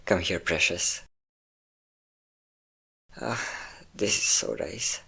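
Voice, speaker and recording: A man's voice: low-pitched, animated, very slow, gravelly, pushed and bright, breathy edge, heavily acted, low-quality recording. A man playing a possessive, obsessive centuries-old vampire in a dramatic romantic audio roleplay, intense and theatrical in delivery. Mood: tender, coaxing. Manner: intense, theatrical, swinging between tender and threatening. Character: possessive obsessive five-hundred-year-old male vampire lover, menacing, volatile.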